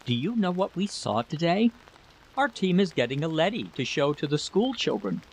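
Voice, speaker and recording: A man voicing a gentle, anxious wife in a story, fretful, hesitant and pleading. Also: fairly high pitch, sing-song, moderate pace, slightly rough, pitched above the performer's own voice, full character voice, poor audio. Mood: chatty, vague.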